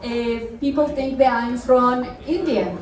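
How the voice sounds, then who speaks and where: A woman from Mexico, a film producer, giving a thankful speech at an event, measured and pausing often with fillers. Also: a little high, fairly even intonation, a little mellow. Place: large hall at a festival. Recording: echoey hall, mic far off.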